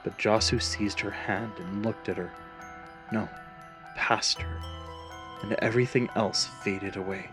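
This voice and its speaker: A man reads a scripted fantasy tale as its narrator. His voice is on the low side, with dramatic storytelling delivery over a musical backdrop. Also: fairly even intonation, a little slow, long pauses, over music.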